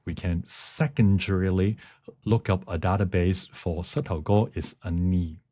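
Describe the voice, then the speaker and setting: A man giving a technical presentation, speaking haltingly as he searches for words. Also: low voice, mellow, breathy edge. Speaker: conference presenter. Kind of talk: technical talk with live demonstration.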